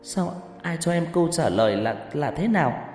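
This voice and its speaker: A man's voice: fairly low pitch, big pitch swings, a little fast, a little mellow. A man voicing a desperate, sobbing young woman who pleads and accuses. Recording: echoey long reverb, faint music.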